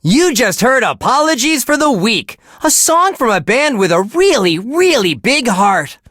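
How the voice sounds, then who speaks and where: A bright, forceful radio DJ, bursts with wholesome cheer. He gushes in folksy exclamations with big swings of pitch, recorded dry and close, as heard on a game's rock station. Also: very animated, measured pace, no echo, heavily acted. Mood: sunny, friendly. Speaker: radio DJ host. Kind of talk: video game radio DJ patter.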